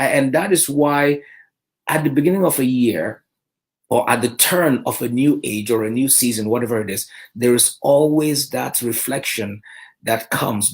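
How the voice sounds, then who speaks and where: A man giving a motivational talk, emphatic, often slowing down to stress key points. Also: mid-range voice. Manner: emphatic, slows down for key points, restarts phrases. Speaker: motivational speaker.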